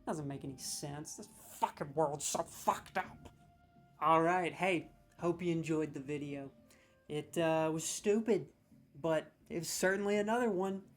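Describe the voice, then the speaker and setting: A man's voice: on the high side, unhurried, soft background music. A man hosting a gaming video, signing off in a rambling, hesitant way with swearing. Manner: rambling, profane, hesitant with fillers. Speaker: video host. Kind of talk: casual video outro.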